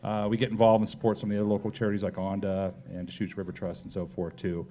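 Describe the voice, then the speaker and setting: A man's voice: on the low side, monotone, quick pace, mellow. A man speaking as a company executive in a live interview, conversational and sprinkled with "kinda" and "you know". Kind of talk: live panel interview answers. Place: on stage at a live pub talk event.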